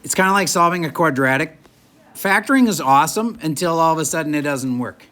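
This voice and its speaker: A man's voice: fairly high pitch, a little fast, sharp tone. A male math teacher lecturing a classroom in a chatty, joking way while losing his voice. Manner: chatty, jokey, moves around the room.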